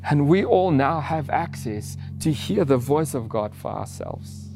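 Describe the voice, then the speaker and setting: A man whose first language is Afrikaans, preaching an earnest sermon with restarts and soft laughs. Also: on the high side, lively intonation, measured pace, vocal fry, over music.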